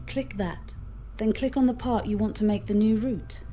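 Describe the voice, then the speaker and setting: A woman's voice: medium pitch, fairly even intonation, moderate pace, mellow, faint music behind. A synthetic woman's voice giving instructions as an AI assistant, polite, formal and fluent. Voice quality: synthetic text-to-speech voice. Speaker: AI copilot assistant. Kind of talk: scripted instructional explanation.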